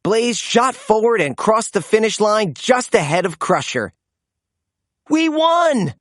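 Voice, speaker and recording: Unhurried, animated woman storyteller. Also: mid-range voice, no room sound, studio quality. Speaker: storybook reader. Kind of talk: animated children's read-aloud narration.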